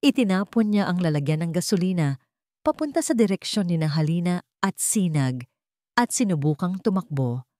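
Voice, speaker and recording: Female storyteller, slightly low register, dry studio-close sound, scripted dramatic narration. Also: animated, moderate pace, dark tone, dry recording.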